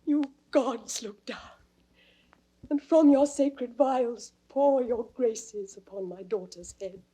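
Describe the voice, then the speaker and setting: A woman acting a long-hidden queen and tender mother in classical Shakespearean audio drama, reverent and measured. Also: fairly high pitch, warm tone, breathy edge, rough recording. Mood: reverent blessing.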